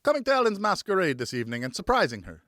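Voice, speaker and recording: A man's voice: high voice, sing-song, very fast, bright, forceful tone, dry recording, studio quality, voice pushed up, heavily acted. A man voicing a persuasive, coaxing vineyard owner in an audiobook, talking like a salesman. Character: persuasive male vineyard owner with gimpy legs. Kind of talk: audiobook character dialogue.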